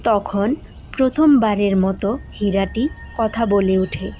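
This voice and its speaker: A woman's voice: mid-range voice, slow pace. A woman reading scripted fairy-tale narration as a cartoon storyteller.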